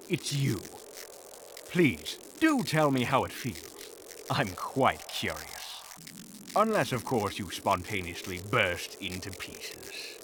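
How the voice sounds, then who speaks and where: A man's voice: mid-range voice, fairly bright tone, slight background noise. A man playing a smug, sadistic magician villain with a theatrical, taunting delivery in a fantasy audio drama. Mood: menacing, curious. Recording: background music under the voice.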